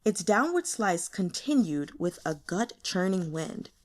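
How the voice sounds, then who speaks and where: Animated woman narrating fiction. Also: mid-range voice, measured pace. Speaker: audiobook reader.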